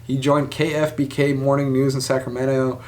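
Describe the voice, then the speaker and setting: A man's voice: mid-range voice, flat delivery, normal speed, a little mellow. A man hosting a sports show, giving casual, conversational commentary with speculative asides. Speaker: sports podcast host.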